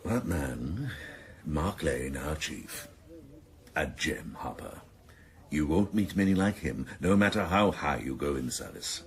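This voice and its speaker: Sardonic, very deep-voiced police chief detective. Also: male voice, animated, unhurried, full character voice. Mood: scornful. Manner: mock-courteous, dry, sneering. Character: sardonic, cynical, scheming senior police detective. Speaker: actor in radio drama. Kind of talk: 1990s radio drama acting.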